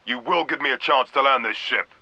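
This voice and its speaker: An actor playing a stern, commanding vice admiral in a video game, giving clipped, authoritative orders over a radio comms filter. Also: male voice, medium pitch, fairly quick, creaky, bright, forceful tone, no room sound, heavily acted. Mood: urgent, shouting. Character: stern military vice admiral, grave, commanding fleet officer. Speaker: military commander character. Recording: dull band-limited radio sound.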